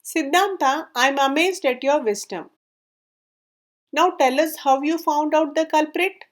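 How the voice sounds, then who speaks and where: A woman's voice: sits high, measured pace. A woman voicing a stern but merciful king in a storybook tale, with formal, regal phrasing. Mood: amazed.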